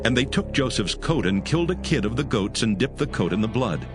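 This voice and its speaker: A man's voice: mid-range voice, lively intonation, fairly quick, music in the background. A man narrating a dramatized Bible story in a solemn storytelling style. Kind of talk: dramatic scripted Bible reading.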